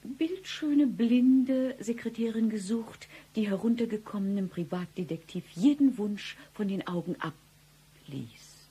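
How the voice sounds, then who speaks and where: An odd deadpan femme fatale, really raspy breathy voice, dark n mellow, radio comedy. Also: female voice, mid-range voice, unhurried. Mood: dry, brisk. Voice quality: husky, breathy. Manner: deadpan, dropping into breathy whispers. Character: blind woman job-seeker, eccentric deadpan femme fatale. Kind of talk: satirical radio play dialogue.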